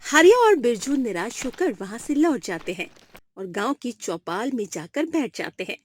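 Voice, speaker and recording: Lively, high-pitched woman storyteller. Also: normal speed. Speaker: narrator. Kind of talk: scripted storybook narration for cartoon.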